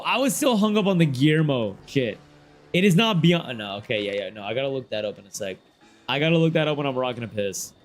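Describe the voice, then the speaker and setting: Rambling young man streamer, slangy. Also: mid-range voice. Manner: rambling and loose, heavy slang, trails off quieter. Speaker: game streamer. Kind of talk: casual livestream chat, reading chat aloud. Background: faint game music.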